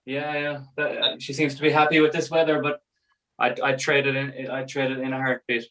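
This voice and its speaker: A man's voice: mid-range voice, flat delivery, fairly quick, a bit bright, far from the mic, low-quality recording. A man from Ireland with an Irish accent, chatting easily as a guest and laughing often. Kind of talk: casual video call chat.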